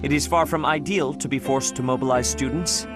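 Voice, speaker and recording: A man's voice: medium pitch, fairly even intonation, a little fast, music in the background, natural voice. A man playing a strict, dutiful church official in a video game, speaking in a formal, precise, stern manner. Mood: grave, dutiful.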